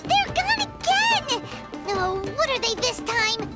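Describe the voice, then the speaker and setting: A little fairy sidekick girl, very high and squeaky. The delivery swings widely and sounds childlike and easily indignant, with a piercing bright edge, in anime game style. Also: takes it slowly, faint music behind, exaggerated voice. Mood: puzzled. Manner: excitable, indignant, refers to self by name. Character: tiny floating fairy girl companion, feisty and childlike.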